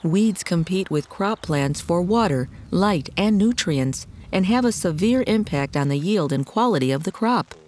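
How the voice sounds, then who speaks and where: A woman reading scripted documentary narration in a measured, articulate, explanatory way. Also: lowish voice, lively intonation, a little mellow.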